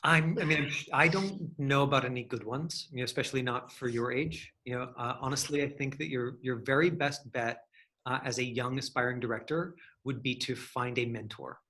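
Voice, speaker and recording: A man's voice: medium pitch, normal speed, pushed and bright. A man working as an acting coach, teaching and answering questions in a rambling, encouraging, conversational way. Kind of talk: casual online acting lesson with question and answer.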